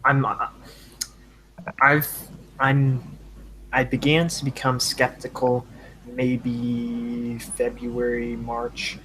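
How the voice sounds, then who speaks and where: A man's voice: medium pitch, monotone, very slow, long pauses, fairly bright tone, a little hiss or hum, low-quality recording. Easygoing man telling a story. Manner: easygoing, storytelling, laughs now and then. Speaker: guest. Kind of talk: casual voice chat.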